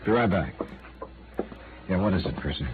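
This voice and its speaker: A tinny vintage radio recording with music behind carries a wry, hard-boiled investigator. He is suspicious and sardonic, speaking in clipped phrases with a very lively pitch, in 1950s noir style. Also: normal speed. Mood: urgent. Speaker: lead actor and narrator.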